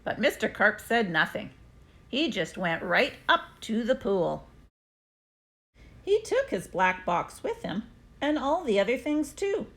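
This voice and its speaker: A woman reading a children's story aloud expressively, with deliberate, dramatic emphasis. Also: a little high, very animated, fairly bright tone. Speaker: storybook reader.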